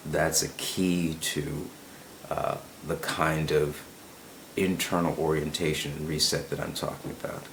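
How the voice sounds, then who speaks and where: A man's voice: a bass voice, level tone, unhurried, gravelly, a bit bright, slight background noise, poor audio. A man talking in a casual, musing monologue, often checking in with "right?" and repeating words as he thinks. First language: English. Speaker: self-help video host.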